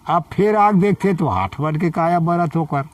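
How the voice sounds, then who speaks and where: A man with a Chhattisgarhi accent telling a folk story in short colloquial phrases, pausing for a listener to respond. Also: a little high. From Chhattisgarh, India. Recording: muffled, distant microphone.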